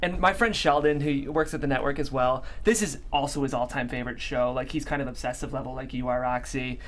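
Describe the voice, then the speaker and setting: A man of middle pitch hosts a relaxed group discussion in casual speech. His delivery is fast, with faint noise in the background. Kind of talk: casual panel chat.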